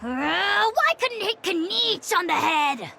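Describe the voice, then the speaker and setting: A woman voicing a small, childlike, excitable fairy companion in a video game, squeaky and exclamatory. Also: measured pace, gravelly, very noisy, exaggerated voice. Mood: alarmed. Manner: expressive, exclamatory. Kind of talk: video game character acting.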